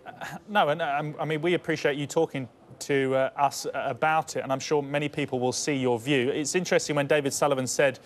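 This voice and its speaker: A man interviewing for sports news, putting his questions in a hesitant, halting way. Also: fairly high pitch, fairly even intonation, slight background noise. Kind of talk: live broadcast interviewing.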